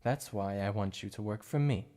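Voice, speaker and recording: A man voicing a shy, obsessive male supervillain in an ASMR roleplay, soft-spoken and stammering over his slips of the tongue. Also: fairly low pitch, normal speed, natural voice. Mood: softly coaxing.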